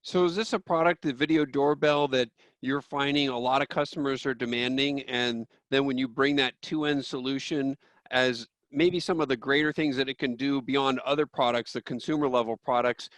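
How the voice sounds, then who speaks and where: A man's voice: mid-range voice, fairly even intonation, normal speed, fairly bright tone, dry recording. Male interviewer with a dry, close sound. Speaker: interviewer, trade publication host. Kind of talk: prepared interview questions.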